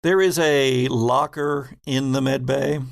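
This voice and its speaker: A man's voice: medium pitch, steady tone, slow pace, gravelly, dry recording, studio quality. A man narrating a horror tabletop roleplaying game as game master, in a descriptive storytelling manner.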